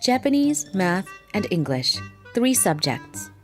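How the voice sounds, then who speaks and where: A calm, perceptive young teacher, voiced by a woman, composed and firm with a lively, expressive pitch. Light music plays behind her in this manga dub. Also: takes it slowly.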